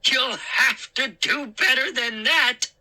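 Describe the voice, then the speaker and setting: A woman's voice: high-pitched, slow, sharp tone, voice pushed up, exaggerated voice. A woman voicing a mischievous male garden gnome who mocks and taunts. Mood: mocking. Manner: mocking, taunting.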